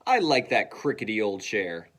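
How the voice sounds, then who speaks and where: A man voicing a mischievous, excitable schoolboy in a children's storybook, feisty and emphatic. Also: on the low side, moderate pace. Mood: defiant.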